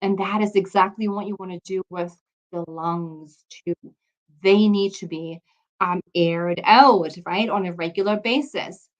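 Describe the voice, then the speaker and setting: A woman's voice: medium pitch, low-quality recording. A woman from Germany gives an informal health lesson, explaining and often checking in with her listeners. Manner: explanatory, checks in with "okay?" and "right?". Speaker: health course instructor. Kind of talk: informal lecture to an audience.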